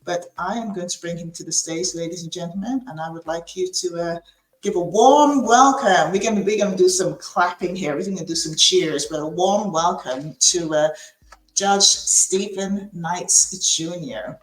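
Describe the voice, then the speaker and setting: A woman's voice: on the low side, normal speed. A woman hosting a podcast interview, chatting casually with lots of fillers and restarts. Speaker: podcast host. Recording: echoey room.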